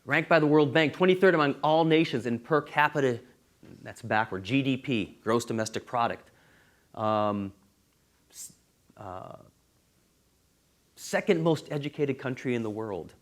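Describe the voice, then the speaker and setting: A man's voice: medium pitch, expressive, measured pace, pauses often. A man from Israel, a law professor, giving a university seminar talk in an earnest way and stressing key figures.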